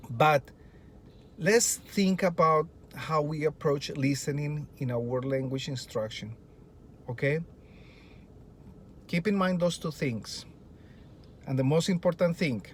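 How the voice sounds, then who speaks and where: A man's voice: a little high, a little hiss or hum. A middle-aged man with a Colombian Spanish accent, a native Spanish speaker and a Spanish teacher, talking earnestly to fellow teachers and asking a lot of rhetorical questions. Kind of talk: presentation to teachers.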